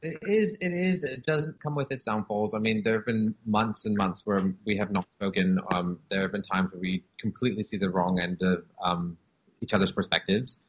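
Deep husky man telling his story. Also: normal speed, low-quality recording. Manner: open and reflective, with frequent "um" and "like". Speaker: guest. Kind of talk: candid personal storytelling.

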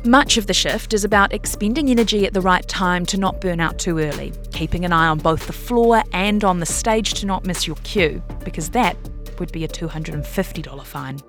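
A woman reading a scripted long-form news feature as narrator. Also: lowish voice.

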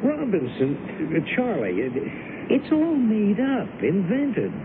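Through a thin, noisy vintage radio signal comes a nervous male novelist. His voice sits fairly high and swings widely as he stammers, defends himself and pleads in 1970s radio-play fashion. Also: wildly swooping pitch, slow pace, exaggerated voice. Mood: defensive, placating. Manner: stammering, flustered. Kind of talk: 1970s radio drama acting. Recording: tinny band-limited radio broadcast, loud noise.

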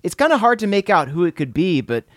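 Male improv podcaster, lively varied intonation, searching delivery, close dry studio sound. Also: sits high, fast, no echo. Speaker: podcast comedian. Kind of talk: improvised in-character comedy chat.

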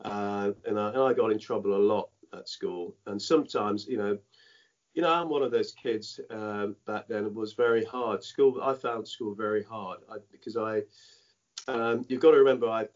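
This is a fairly low-pitched man who grew up in Dominica and first spoke patois, and he tells his story in a halting way, circling back with lots of "you know." The sound is dull and lo-fi, as if recorded on a muffled line. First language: Dominican French patois. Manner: halting, many "you know" fillers and restarts. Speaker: former cricketer, guest. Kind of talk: reflective interview storytelling.

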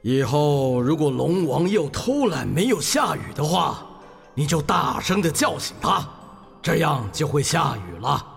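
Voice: mid-range voice, big pitch swings, a little slow, gravelly, slightly rough, voice pushed down, full character voice. A storyteller playing an imperious, easily angered male Emperor of Heaven in a children's tale, with a commanding, theatrical manner. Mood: stern, composed. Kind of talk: children's storybook character acting. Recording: long hall reverb over music.

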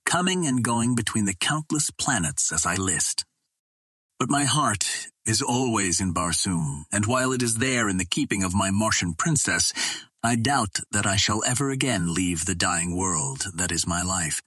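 A man reading the part of an ageless gentleman soldier in a storybook, cordial and solemn, with formal, old-fashioned speech. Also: on the low side, slightly rough, no echo.

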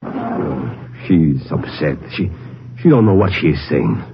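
An actor playing a hot-tempered, evasive brother and boxing manager, halting and defensive, in 1950s radio drama style. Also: male voice, extremely low pitch, very animated, gravelly, full character voice. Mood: evasive, uneasy. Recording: muffled, noisy old radio recording.

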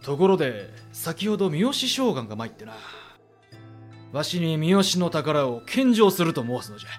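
A man's voice: on the high side, sing-song, normal speed, faint music behind. A man playing a commanding, blunt warlord in a Japanese period audio drama, with imperious, archaic lordly speech. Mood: brisk, proud.

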